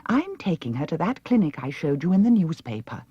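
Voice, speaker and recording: A woman's voice: deep voice, sing-song, fairly quick, warm tone, breathy edge, dry recording, dull audio, voice pushed up, full character voice. A woman voicing a worried, firm middle-aged farm aunt in a storybook, fretful and no-nonsense.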